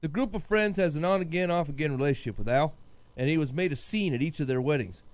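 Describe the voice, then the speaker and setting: Man podcast cohost, voice a bit on the high side, casual banter. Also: expressive, fast talker, no echo. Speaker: podcast co-host. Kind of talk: casual group banter and reading out a listener letter.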